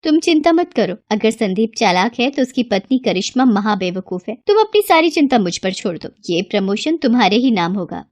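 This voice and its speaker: A woman voicing a scheming, flattering wife with a persuasive, smooth-talking manner in a cartoon story. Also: fairly high pitch, fairly quick, hardly any pauses, no room sound. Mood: scheming, confident. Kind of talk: Hindi moral story cartoon acting.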